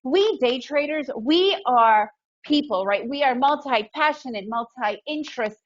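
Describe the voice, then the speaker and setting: A woman's voice: sits high, expressive, measured pace. Upbeat woman presenter, dull lo-fi audio. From Soviet Union, raised in the US. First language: Russian. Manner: upbeat, emphatic, often adds "right?". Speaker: webinar presenter, day trader. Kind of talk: webinar presentation. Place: home in Guatemala.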